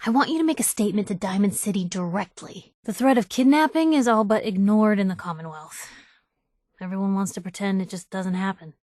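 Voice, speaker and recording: A woman's voice: mid-range voice, a little mellow, no room sound, studio quality, natural voice. An actress playing a spirited, nosy young woman reporter in a video game, talking in a wry, inquisitive, punchy and colloquial way. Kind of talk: video game companion dialogue.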